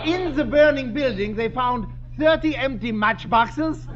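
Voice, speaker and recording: High-voiced, teasing German immigrant. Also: male voice, a little slow, a crowd in the background. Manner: sardonic, joking. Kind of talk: 1950s radio sitcom acting. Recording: muffled, noisy old radio recording.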